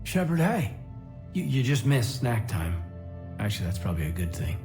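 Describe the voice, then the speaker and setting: Earnest, hesitant soldier. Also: male voice, mid-range voice, very animated, moderate pace, a little mellow. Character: earnest injured male soldier, loyal, slightly hesitant. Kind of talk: video game dramatic acting. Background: music.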